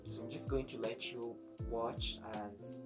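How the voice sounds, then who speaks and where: A man's voice: medium pitch, fairly even intonation, unhurried. A man giving an easygoing, explanatory craft tutorial in a casual style. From Kenya. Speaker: DIY craft vlogger. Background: background music with guitar.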